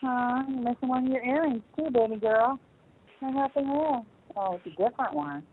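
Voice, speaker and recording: A woman's voice: high voice, lively intonation, brisk. A middle-aged or older woman chatting casually with family on the phone, speaking hesitantly and breaking off at times. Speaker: mother on a phone call, minding a baby. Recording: tinny, band-limited phone line.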